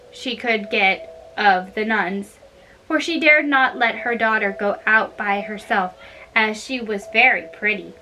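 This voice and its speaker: Bright-voiced woman reading fairy tales. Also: medium pitch, low-quality recording. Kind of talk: storybook reading with casual asides. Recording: noticeable background noise.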